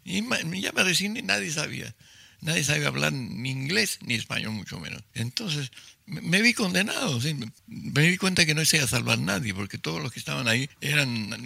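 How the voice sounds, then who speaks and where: An older writer tells stories in an interview with a gravelly, husky voice. His delivery is forceful and tense, and he often restarts sentences and acts out what people said. The recording is dry and close. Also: medium pitch, dry recording. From Chile. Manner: vivid storyteller, acts out quotes, frequent restarts. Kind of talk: storytelling in an interview.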